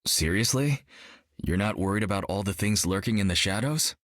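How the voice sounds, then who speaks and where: An actor playing a skeptical, hardened warrior in a video game, blunt and ominous. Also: male voice, lowish voice, animated, normal speed, fairly bright tone, no room sound, clean studio sound. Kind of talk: video game character voice lines.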